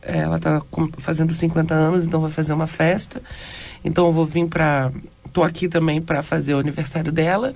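A woman from Porto Alegre, a DJ and cultural producer, chatting as a radio guest and telling stories in a lively way. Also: sits low, fairly quick, vocal fry. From Porto Alegre, Brazil. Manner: lively storytelling, laughs easily. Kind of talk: conversational interview. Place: radio studio.

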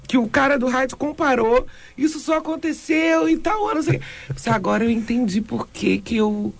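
Gravelly-voiced woman from Porto Alegre. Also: sits high, brisk. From Porto Alegre, Brazil. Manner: lively storytelling, laughs easily. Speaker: guest, DJ and cultural producer. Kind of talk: conversational interview. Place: radio studio.

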